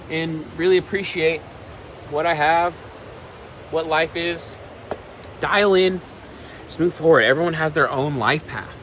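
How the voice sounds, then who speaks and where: 20 yr old guy vlogging, kinda high voice, rambles and repeats stuff, swears, faint hiss behind. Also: a bit bright, poor audio. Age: 20s. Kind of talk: casual vlog talk. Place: outdoors on a balcony.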